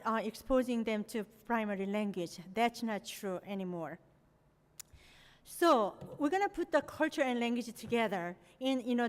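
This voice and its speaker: Korean-born lady lecturing, higher-ish voice, lots of "you know", echoey hall. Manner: frequent "you know" fillers, non-native phrasing. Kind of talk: academic presentation. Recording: long hall reverb.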